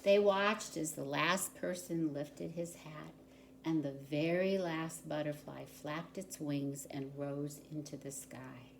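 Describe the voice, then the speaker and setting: Female storybook reader for preschoolers: low register, very unhurried, expressive delivery, slight background noise. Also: gravelly. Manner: expressive, deliberate storyteller for young children. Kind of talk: children's picture book read-aloud.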